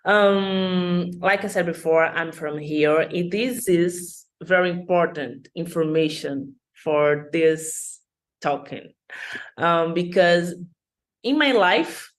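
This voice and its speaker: Slow-speaking Brazilian woman. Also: on the low side. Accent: Brazilian Portuguese. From Rio de Janeiro, Brazil. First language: Portuguese. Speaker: guest speaker. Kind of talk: prerecorded video talk.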